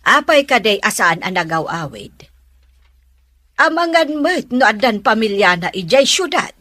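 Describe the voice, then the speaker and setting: A woman's voice: on the high side, big pitch swings, a little slow, bright, forceful tone, no room sound, exaggerated voice. A woman playing a gossipy, easily annoyed middle-aged mother in a radio drama, chatty and insistent.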